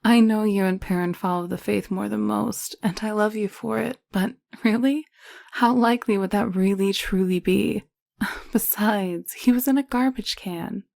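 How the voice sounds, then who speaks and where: A woman playing a caring, level-headed alien medic in an intimate audio roleplay, speaking gently and explaining things. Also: medium pitch, normal speed, vocal fry, no echo, close to an everyday voice. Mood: teasing disbelief. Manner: gentle, explanatory, hushed at times.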